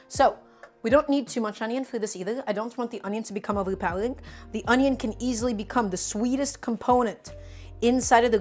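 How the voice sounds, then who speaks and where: Chatty woman streaming a home cooking demo. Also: a little high. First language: Russian. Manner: chatty, answers viewer chat while cooking. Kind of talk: live cooking demonstration. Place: home kitchen.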